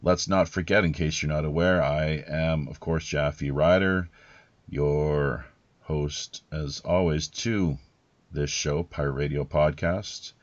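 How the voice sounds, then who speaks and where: A man hosting a podcast with deliberate, measured delivery and formal, announcer-like phrasing. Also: extremely low pitch, fairly even intonation, dry recording, muffled recording. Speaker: podcast host.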